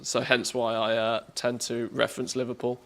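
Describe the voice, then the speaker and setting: English male streamer, mid-pitched voice, off-the-cuff and jokey, happy to poke fun at himself. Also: monotone, moderate pace, fairly bright tone. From England, born in the northwest, lived mostly in the Midlands. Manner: jokey, self-deprecating. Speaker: game livestreamer. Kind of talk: casual livestream chat.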